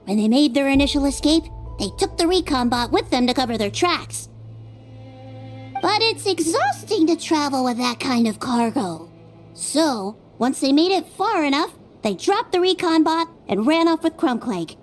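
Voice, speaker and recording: Reasoning little Melusine sleuth. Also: female voice, high-pitched, sing-song, normal speed. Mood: thoughtful. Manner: methodical reasoning aloud. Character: young female Melusine detective, analytical, gentle. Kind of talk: video game voice acting. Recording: game audio with soft music.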